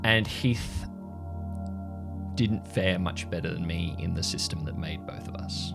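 Audio drama role, male actor: grave, embittered agent with a creaky low voice, measured delivery over music. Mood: defensive, weary. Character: bitter, haunted male agent raised by the agency since childhood. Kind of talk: improvised audio drama dialogue. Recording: music under the voice.

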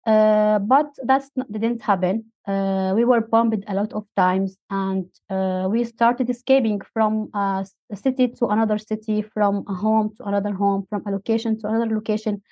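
A woman's voice: mid-range voice, steady tone, normal speed, few pauses, no room sound. Syrian woman, 30s, non-native English, hesitant and filler-heavy, dry and slightly muffled interview guest. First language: Arabic. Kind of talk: interview storytelling.

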